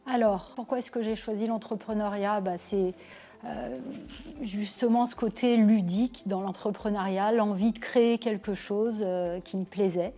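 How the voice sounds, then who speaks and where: A woman in her forties from Lyon, thoughtfully telling her career story in a measured way with frequent pauses. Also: mid-range voice, fairly even intonation, dark tone, soft background music. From Lyon, France. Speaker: business owner interviewee. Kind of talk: personal career account to camera.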